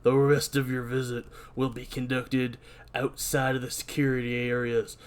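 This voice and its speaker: A stern male prison warden, acted out by a performer reading a comic script. He gives orders slowly and with authority, in a middling-pitched voice. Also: flat delivery, smooth, natural voice. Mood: commanding. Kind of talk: comic script read-through.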